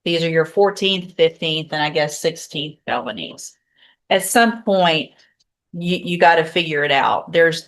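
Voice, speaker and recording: A woman's voice: deep voice, fairly bright tone. An American woman speaking as a judge in court, plain-spoken, firm and formal in her delivery. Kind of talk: courtroom sentencing and docket proceedings.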